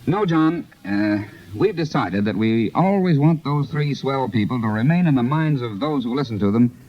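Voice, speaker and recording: A man's voice: mid-range voice, animated, normal speed. A man speaking as a producer in a scripted 1930s radio promotion, formal and salesman-like with elaborate phrasing. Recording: dull lo-fi 1930s radio transcription.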